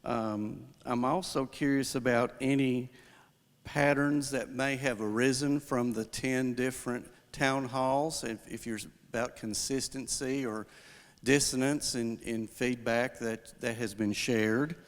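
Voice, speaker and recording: A man with a big, booming voice speaks into a handheld microphone at a public town hall. His pitch is ordinary. He asks a run of questions with small hesitations and restarts, and the hall's long reverb hangs behind him. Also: fairly even intonation, a little slow. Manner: loud, booming voice. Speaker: member of the public giving comment. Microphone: handheld microphone passed through the audience. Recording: echoey hall from off-mic.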